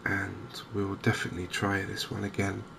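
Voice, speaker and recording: A man gives gentle, softly spoken ASMR commentary on a game, chatty and unhurried with hesitant pauses. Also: sits low. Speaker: gaming video host. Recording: clear steady background noise and ambience.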